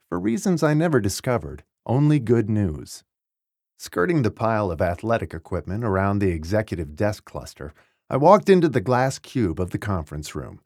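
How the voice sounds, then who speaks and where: Male narrator for memoir audiobook: lowish register, very expressive intonation, wry and articulate delivery, dry close studio sound. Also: no echo. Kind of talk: scripted audiobook narration of a first-person memoir.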